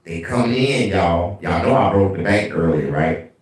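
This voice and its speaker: A man with a deep bass voice hosting a casual livestream, telling animated, profane stories. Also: normal speed, distant mic. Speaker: livestream host. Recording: very echoey room, away from mic.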